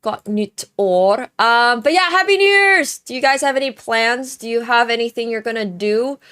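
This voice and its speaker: Chatty young woman streamer, fairly high voice. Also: expressive, moderate pace, fairly bright tone, clean tone. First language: Chinese. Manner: chatty, thanks viewers, tries greetings in many languages. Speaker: livestream host. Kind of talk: casual live-stream chat. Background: faint background music.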